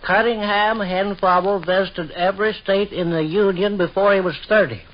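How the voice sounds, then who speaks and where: An actor playing a stubborn, rambling old American uncle in a folksy, repetitive 1940s radio comedy style. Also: male voice, sits high, fairly even intonation, moderate pace, a little hiss or hum, close to an everyday voice. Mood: fond, reminiscing. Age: older. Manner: rustic, repetitive, emphatic, homespun turns of phrase. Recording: dull vintage 1940s radio recording.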